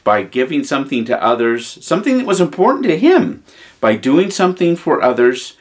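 A man's voice: mid-range voice, big pitch swings, moderate pace. A man in his fifties, a priest hosting a children's story time, talking informally and devotionally in simple short phrases. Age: 50s. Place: small furnished room at home, indoors.

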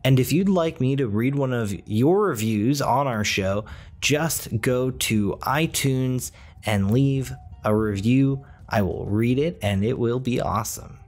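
Slow-paced man reading a sponsor ad. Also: medium pitch, a little mellow. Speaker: podcast host. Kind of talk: in-character sponsor ad read.

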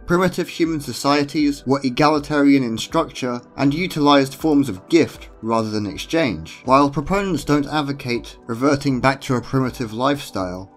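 British man narrating an argued essay. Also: mid-range voice. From Britain. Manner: measured, argumentative. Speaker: channel host. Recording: voice-over with faint music underneath.

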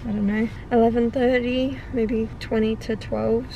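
A woman's voice: on the high side, level tone, unhurried, warm tone, lo-fi sound, slight background noise. Chatty Australian woman vlogging from her car. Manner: chatty, rambling, self-amused. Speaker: vlogger and personal trainer. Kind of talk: casual vlog chat to camera. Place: inside a parked car. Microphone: camera on the dashboard.

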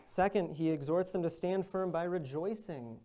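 A young man, a visiting minister, preaching a sermon at a pulpit in an earnest, measured way that rises on exhortations. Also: a little high, mellow. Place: church room with large windows, at a pulpit.

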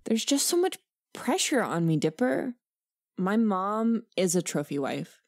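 A woman's voice: mid-range voice, very animated, a little slow, darkish tone. A woman voicing a snooty, insecure rich preteen girl in a cartoon comic dub, disdainful and whiny. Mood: glum, defensive.

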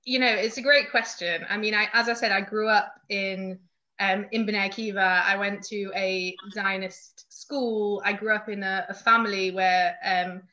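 A woman's voice: medium pitch, steady tone, normal speed, sharp tone. A woman with a Mancunian accent, a podcast guest chatting casually with frequent fillers. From Manchester, England. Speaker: guest, youth movement emissary. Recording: dull lo-fi audio.

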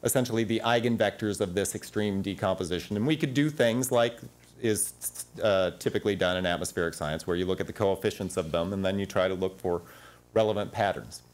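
Man, forties, panel speaker, lapel mic, conference room, animated, restarts. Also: low-pitched, brisk. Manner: animated, gesturing at slides, frequent restarts. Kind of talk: academic panel talk.

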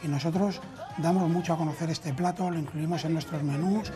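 A Castilian Spanish man in his thirties talks conversationally as a restaurateur being interviewed. He is miked on a lapel in a dining room, with music clearly playing behind him. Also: a little high, fairly even intonation, brisk. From Spain. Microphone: lapel mic.

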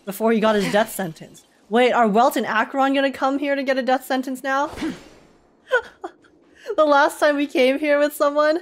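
A woman's voice: high-pitched, fairly even intonation, normal speed. Chatty young woman streaming a game. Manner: chatty, giggly. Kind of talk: casual game reaction commentary with dialogue read aloud. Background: game music.

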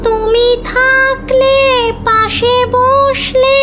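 A woman playing a lonely, lovesick female ghost in a comedy cartoon, pleading and scheming in a drawn-out sing-song. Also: very high voice, level tone, music in the background, full character voice. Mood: wistful, sing-song. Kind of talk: Bengali comedy cartoon voice acting.

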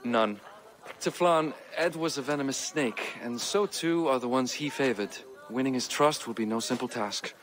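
An actor playing an earnest, diplomatic middle-aged warrior king with a grave, deliberate delivery in a video game. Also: medium pitch, a bit bright, close to an everyday voice. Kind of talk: video game cutscene acting.

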